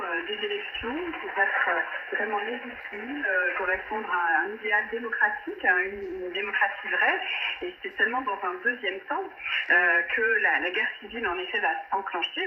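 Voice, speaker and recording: A female guest gives an explanatory interview answer, feeling for her words as she goes. She is on a telephone relayed over shortwave, dull in tone and buried in heavy static. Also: mid-range voice. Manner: hesitant, searching for words. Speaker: guest academic or writer. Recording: noisy phone line over shortwave radio. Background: loud static and hiss.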